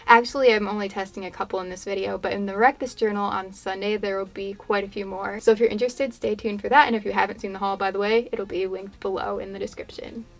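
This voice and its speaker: Brief: young woman, stuffed-up and slightly worn voice, casual even-toned craft-vlog voiceover, rambling chatter. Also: mid-range voice, creaky. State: congested, voice fading. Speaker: craft YouTuber. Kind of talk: casual voiceover chat over a painting demo.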